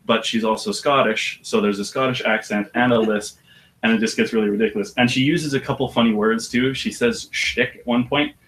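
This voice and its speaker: An audiobook narrator with a deep voice, talking about his work in a relaxed, thoughtful way with some hesitation. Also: brisk, low-quality recording. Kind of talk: casual interview chat.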